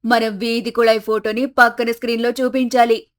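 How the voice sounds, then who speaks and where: A woman voicing an older village mother-in-law who is indignant, complaining and self-important, in an animated story. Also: on the high side, moderate pace, lightly acted. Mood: eager, bossy. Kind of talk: animated moral story acting.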